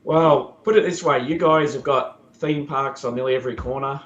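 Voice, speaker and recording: A man from Australia, a podcast guest with a sore throat and a croaky voice, chatting in an easygoing way with lots of ums. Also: mid-range voice, steady tone, moderate pace, echoey room, lo-fi sound. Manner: easygoing, hesitant with many ums. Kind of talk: casual podcast chat.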